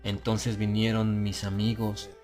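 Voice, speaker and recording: A man reading a gothic horror audiobook in a solemn, deliberate and dramatic manner. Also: fairly low pitch, completely flat delivery. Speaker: audiobook narrator. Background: music.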